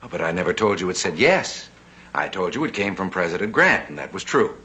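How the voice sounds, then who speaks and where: An actor playing a self-assured, wily banker with playful showmanship, American accent, in a mid-century television western. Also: male voice, deep voice, very animated, a little fast, sharp tone, slightly rough, full character voice. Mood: sly.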